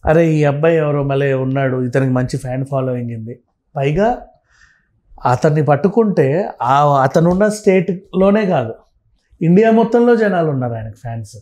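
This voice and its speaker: Mellow, higher-pitched man commentating. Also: animated, moderate pace. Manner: emphatic, repeats phrases, mixes in English words. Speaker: film and political commentator, interview guest. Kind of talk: conversational opinionated commentary.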